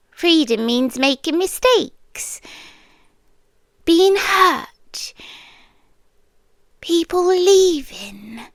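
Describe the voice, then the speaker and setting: A British woman playing a possessive, adoring vampire girlfriend in an ASMR roleplay, speaking softly and breathily and often slipping into a whisper. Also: high-pitched, no room sound, clean studio sound, exaggerated voice. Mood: coaxing, possessive. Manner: soft, breathy, often dropping into whispers, coaxing. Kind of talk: ASMR roleplay, slow intimate delivery with whispering.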